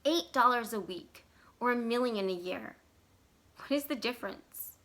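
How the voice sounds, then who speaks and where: A woman narrates a story in a bright, fairly high voice. Her reading is expressive, with deliberate dramatic phrasing. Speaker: library staff storyteller.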